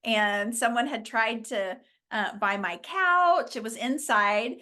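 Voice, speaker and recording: A middle-aged woman from Oklahoma, a business founder chatting as a guest, telling her story with quick laughs. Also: fairly high pitch, sharp tone. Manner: chatty, laughs often, upbeat. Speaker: franchise founder, interview guest. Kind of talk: casual storytelling.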